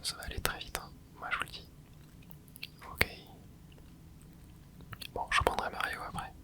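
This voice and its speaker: A person whispering relaxed running commentary over a video game, with soft breathy tones, close to a microphone indoors. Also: normal speed. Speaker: gaming ASMR host.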